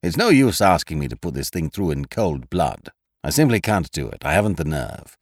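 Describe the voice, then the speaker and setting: A man's voice: rumbling low, sing-song, moderate pace, no echo, studio quality, voice pushed down, heavily acted. A man voicing a mild, nervous young man who bargains in a sulky tone. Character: mild, oily young man turning truculent.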